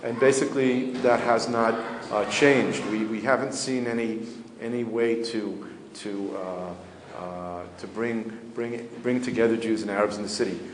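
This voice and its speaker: A man, a community spokesman answering journalists' questions in a measured, matter-of-fact way, pausing to search for words. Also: on the low side, steady tone. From United States. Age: 50s. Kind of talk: press interview answers. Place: indoor hall. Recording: echoey hall, faint ambient noise.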